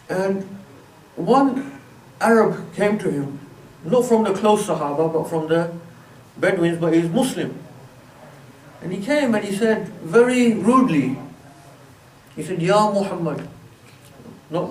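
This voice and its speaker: A man's voice: sits high, a little mellow, slight background noise. A man giving a religious lecture in a mosque, speaking conversationally with frequent "you know" fillers. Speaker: Islamic teacher. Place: mosque prayer hall. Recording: echoey mosque hall, distant mic.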